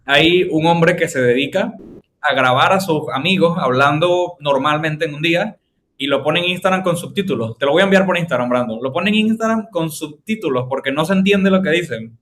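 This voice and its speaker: A man's voice: on the high side, brisk, a bit bright, a little hiss or hum. Venezuelan man explaining on a podcast. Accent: Venezuelan Spanish. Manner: explains with long examples, many fillers. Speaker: podcast host. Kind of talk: casual podcast chat.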